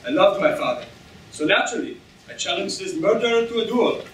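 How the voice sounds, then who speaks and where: Man playing an earnest, animated Spanish swordsman. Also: sits high, a little fast. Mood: solemn. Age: young adult. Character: courteous, earnest Spanish swordsman seeking revenge. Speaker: student actor in a staged reading. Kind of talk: theatrical staged reading. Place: lecture room stage before an audience. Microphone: far camera in the audience. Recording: distant, echoey room with noise.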